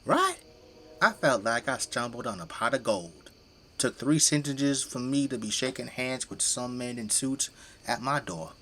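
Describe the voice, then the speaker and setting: A man's voice: medium pitch, expressive, a little slow, a bit bright, slight background noise. An actor playing a folksy, boastful, tipsy middle-aged father who fights zombies with a flamethrower in an audio drama. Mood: candid, reminiscing. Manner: folksy, colloquial, easygoing bragging.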